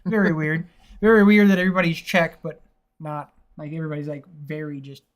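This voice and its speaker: A man's voice: on the high side, steady tone, a little fast. Rambling, profane man on a podcast. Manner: profane, rambling, laughs often. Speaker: podcast co-host. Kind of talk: casual film chat.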